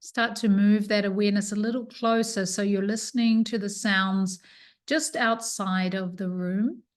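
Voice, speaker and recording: A woman teaching yoga nidra, leading a guided relaxation with soothing, unhurried instructions and pauses. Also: mid-range voice, steady tone.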